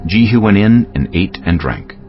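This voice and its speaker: Male audiobook narrator, extremely deep, expressive intonation, scripted scripture reading, slightly muffled, music bed. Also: normal speed. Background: background music.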